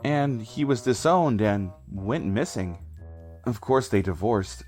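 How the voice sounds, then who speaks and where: A man's voice: fairly low pitch, animated, slow, darkish tone, faint music behind. A man reading scripted first-person story narration for a comic dub.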